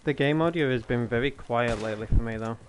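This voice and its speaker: Young male streamer, relaxed jokey chat, headset mic, faint background noise. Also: medium pitch, fast talker. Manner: loose, joking, talks to chat. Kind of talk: casual gaming stream chat. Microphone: gaming headset.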